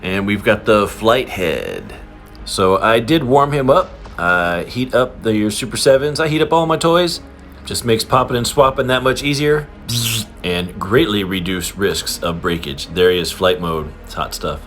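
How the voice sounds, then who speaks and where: A man with a fairly low voice that moves around in pitch a good deal. He talks like an enthusiastic collector showing off a new toy, casual and prone to giggling. Music plays behind him. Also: a little slow. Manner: excitable, giggly fan enthusiasm. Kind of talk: casual unboxing and review chat. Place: indoors in an apartment.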